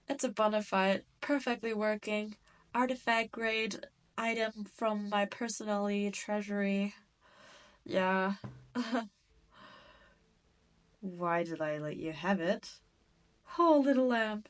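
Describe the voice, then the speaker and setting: A woman's voice: medium pitch, clean tone, lightly acted. A haughty, possessive demon queen from a roleplay audio drama, performed by a woman. She speaks at a very leisurely pace in a mid-range female voice, stretching out her taunts. Her delivery is mocking and condescending, aimed directly at the listener. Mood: amused, condescending. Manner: teasing, condescending, drawn-out delivery.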